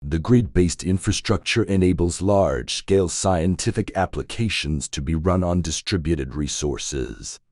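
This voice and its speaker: Very deep-voiced man reading aloud. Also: measured pace, hardly any pauses, darkish tone, dry recording, studio quality. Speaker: English test practice reader. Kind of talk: scripted read-aloud of exam practice passages.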